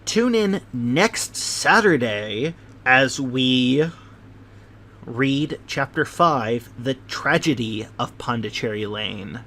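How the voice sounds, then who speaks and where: A man reading a classic novel aloud as narrator, in a measured way with the odd slip and restart. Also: medium pitch, lively intonation, bright, forceful tone, slight background noise. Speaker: narrator and channel host.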